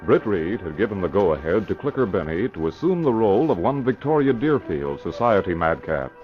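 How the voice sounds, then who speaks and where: A man's voice: lowish voice, very animated, a little fast. A man narrating an old-time radio crime drama in scripted style, on a muffled recording with music behind.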